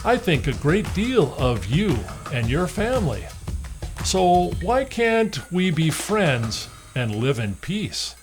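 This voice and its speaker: A shaggy old storybook lion as performed by a man pleads in a coaxing, falsely friendly way. The voice leaps upward and swings widely, over clear background music. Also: fairly high pitch, big pitch swings, slow. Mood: wheedling, falsely friendly. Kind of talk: storybook character voice.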